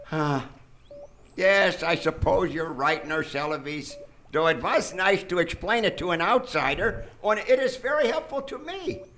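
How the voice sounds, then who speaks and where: A vain, grandiose mad-scientist surgeon, played by a man, with a high, bright, forceful voice. He boasts theatrically in radio comedy style, recorded live on a theater stage with clear background noise. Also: animated, unhurried. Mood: explaining, smug. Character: vain, megalomaniac mad brain surgeon, absurdly boastful villain. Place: theater stage before an audience.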